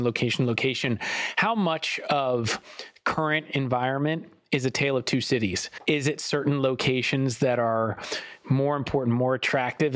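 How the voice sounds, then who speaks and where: A man's voice: medium pitch, a bit bright, no room sound. A man hosting a podcast, asking thoughtful, long framed questions of his guest. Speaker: podcast host. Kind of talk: interview questions.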